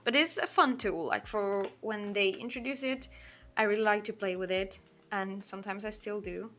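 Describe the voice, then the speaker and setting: A Portuguese woman with her accent, chatting in English while she draws on a livestream. She talks fast and rambles, sprinkling in "like" and catching herself mid-sentence, at a middling pitch. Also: sharp tone. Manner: fast-talking, rambling, with frequent "like" and self-corrections. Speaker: artist streaming a drawing session. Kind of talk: casual livestream chat while drawing.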